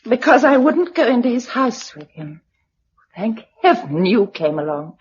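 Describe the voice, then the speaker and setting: A dull, lo-fi radio drama recording carries a well-bred young Englishwoman, polite but shaken. Her English voice sits fairly high and swings widely in pitch, with a genteel, hesitant, vulnerable delivery. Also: very animated, a little slow, a little hiss or hum. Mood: anxious recounting.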